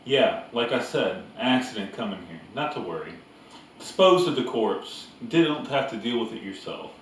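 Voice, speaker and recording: A man's voice: mid-range voice, animated, moderate pace, lightly acted. A man reading the part of a tall, rangy, breezily unfeeling man in a storybook, clipped, cheerful and matter-of-fact. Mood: brusque. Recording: echoey room, distant mic, background noise.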